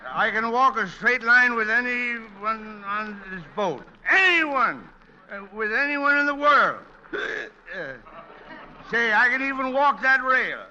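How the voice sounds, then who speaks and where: A man's voice: very high-pitched, expressive, slow, pushed and bright, heavily acted. A man playing a tipsy, blustering shipboard con man with stammering, interrupted speech in 1940s radio comedy style. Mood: drunk bluster. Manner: stammering, hesitant, interjecting bursts. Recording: dull, lo-fi vintage radio recording.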